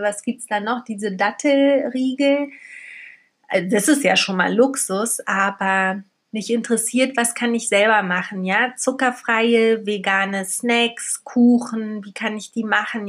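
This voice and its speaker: A woman's voice: mid-range voice, fairly bright tone. A middle-aged woman from Berlin talking candidly and colloquially, as in a casual personal vlog. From Berlin, Germany. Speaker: vlogger and storyteller.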